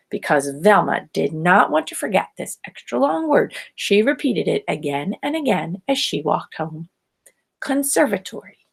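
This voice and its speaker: Lady reading a kids picture book, really animated, takes her time, bit of creak in the voice. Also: medium pitch. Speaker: storybook reader. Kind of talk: expressive scripted children's story narration.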